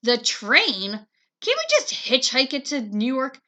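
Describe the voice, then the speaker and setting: A woman's voice: high-pitched, a little slow, pitched above the performer's own voice, heavily acted. Woman doing a cheeky, squabbling little brother. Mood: scoffing. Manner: contrary, excitable, quarrelsome. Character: cheeky, argumentative little brother, a stubborn young boy. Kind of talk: children's storybook dialogue.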